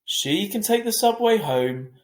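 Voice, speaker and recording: A man's voice: high-pitched, animated, unhurried, echoey room, voice pushed up. A man playing an impatient but affectionate father in a storybook reading, emphatic and insistent.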